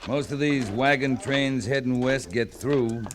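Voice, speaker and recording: An actor playing a seasoned, gruff, dry cavalry captain in an old-time radio western. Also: male voice, medium pitch, measured pace, lightly acted. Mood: wry, thoughtful. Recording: noisy old radio drama recording.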